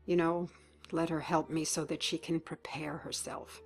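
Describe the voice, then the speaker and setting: A woman's voice: deep voice, flat delivery, moderate pace, soft background music. An actress playing a dying older mother who talks in a blunt, folksy, wry way. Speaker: actor. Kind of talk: web drama acting.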